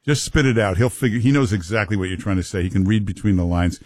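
A man's voice: on the low side, fast, darkish tone, no room sound, clean studio sound. A male talk radio host who jokes with callers and his co-host in wry, teasing banter. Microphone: studio mic.

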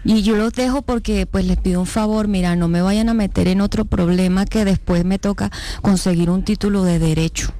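A woman's voice: mid-range voice, steady tone, brisk, mellow, close to an everyday voice. A woman playing a brash young woman who fakes a diploma, in a radio comedy sketch, cursing and indignant. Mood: brazen. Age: young adult. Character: brash young woman caught faking a diploma, shameless and self-pitying.

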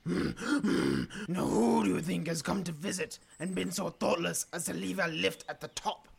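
A woman's voice: fairly low pitch, very animated, unhurried, heavily acted. A woman voicing a big, growly, grumbly father koala who bellows in complaint. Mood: suspicious. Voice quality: growly, gravelly. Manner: bellowing, indignant.

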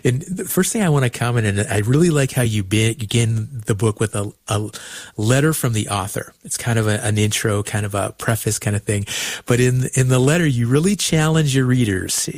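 A man's voice: mid-range voice, fairly quick, no echo, studio quality. A man hosting a radio call-in show, interviewing guests in a friendly, chatty way with frequent restarts.

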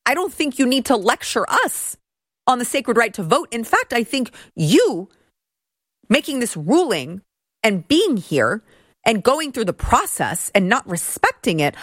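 A woman's voice: a little high, fairly bright tone, breathy edge, no room sound, clean studio sound. A woman hosting a political commentary show, talking in a sarcastic, mocking way. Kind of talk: opinion commentary monologue.

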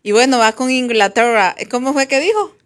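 A woman's voice: high-pitched, lively intonation, fast. A woman with a Honduran Spanish accent hosting a radio show, chatting informally and relaying messages from listeners. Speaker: radio host. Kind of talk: casual talk radio chat, reading listener messages.

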